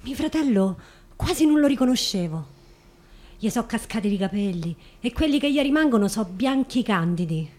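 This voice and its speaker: A woman's voice: fairly high pitch, expressive, moderate pace, a little mellow. A woman playing a young wartime sister with a Roman accent in a dramatic monologue. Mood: shocked sorrow.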